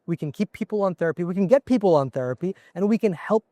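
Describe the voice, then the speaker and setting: Soft, mellow-voiced male CEO from India. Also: high-pitched, lively intonation, quick pace, no room sound. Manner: earnest, explains with examples and acted quotes. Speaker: company CEO, interview guest. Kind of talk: conversational interview answers. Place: conference floor.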